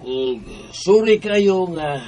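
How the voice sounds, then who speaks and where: A Bisaya Filipino boss addresses staff formally. His voice is fairly high with very varied intonation, businesslike and apologetic in radio drama style. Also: lively intonation, takes it slowly, mellow. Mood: formal, regretful. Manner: formal, announcing.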